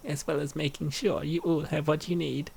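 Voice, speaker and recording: A man's voice: a little high, little pitch movement, normal speed, creaky, darkish tone, breathy edge. A man performing an acted reading of comic dialogue, voicing the characters as a dub actor.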